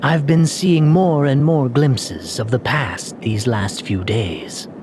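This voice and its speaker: A man playing a troubled, gallant young knight in a video game, earnest and formal, with a husky, breathy, halting delivery. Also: medium pitch, darkish tone, a little hiss or hum, lightly acted. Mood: grave, confessional.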